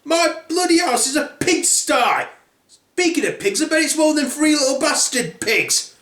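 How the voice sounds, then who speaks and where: Casting: furious sweary storybook wolf, ranting, shrilly high a man acts it out, roomy. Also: lively intonation, normal speed, pushed and bright, full character voice. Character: angry, foul-mouthed Big Bad Wolf, adult male.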